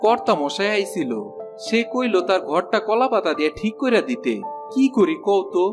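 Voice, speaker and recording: A man's voice: high voice, expressive, normal speed, music in the background. A man with a rural Bangladeshi accent playing a humble, forgiving poor village husband in a cartoon, plain-spoken and deferential. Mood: earnest. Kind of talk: cartoon dialogue acting.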